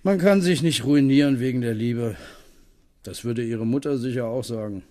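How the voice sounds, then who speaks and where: A man acting a practical, fatherly go-between in a radio play, gentle and persuasive. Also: medium pitch, normal speed, close to an everyday voice.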